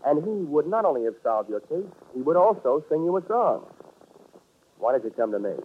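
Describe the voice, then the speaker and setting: An American actor playing a wisecracking, sardonic hard-boiled insurance investigator in a 1940s radio drama. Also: male voice, a little high, big pitch swings, moderate pace, husky. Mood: sardonic, wary. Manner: dry, wisecracking. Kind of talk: 1940s radio drama acting, narrating and dialogue. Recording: tinny band-limited old radio broadcast.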